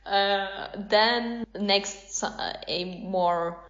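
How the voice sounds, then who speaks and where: A woman hosts a casual podcast conversation. She takes her time with her words in a medium-pitched voice. The sound is a little dull and lo-fi. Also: extremely slow, fairly bright tone. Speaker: podcast host asking quick either-or questions. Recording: slightly dull podcast recording.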